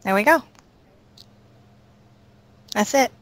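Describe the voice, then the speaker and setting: Unhurried woman with a highish, dry voice. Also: lively intonation, dry recording. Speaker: voice actor being interviewed. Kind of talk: casual chat.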